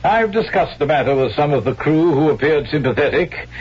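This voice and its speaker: Genial rogue, callous and glib, lively swooping delivery; muffled vintage radio drama sound. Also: male voice, on the high side, fairly quick, husky. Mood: callous, confiding. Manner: glib, chatty, falsely deferential. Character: sly, callous male swindler, genial and opportunistic. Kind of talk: 1970s radio drama acting. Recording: dull vintage radio recording, music behind.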